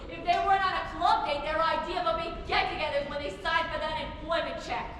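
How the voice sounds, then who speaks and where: A woman's voice: very high-pitched, steady tone, quick pace. A young woman playing a bitter, brittle, needling middle-aged mother in a stage play. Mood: demanding, bitter. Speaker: student stage actor. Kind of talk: theatrical stage dialogue. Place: theatre stage, recorded from far off. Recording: very echoey hall, distant mic, faint noise.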